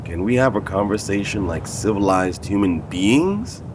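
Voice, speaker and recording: A man playing a wry, measured wasteland gang leader in an audio drama. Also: fairly low pitch, expressive, background noise, lightly acted. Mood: wry, placating.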